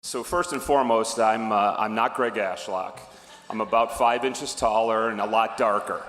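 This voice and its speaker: A man's voice: fairly low pitch, normal speed, a bit bright. A man giving a wry tribute speech at an awards ceremony, with fillers and restarts. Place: large ballroom. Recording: reverberant hall.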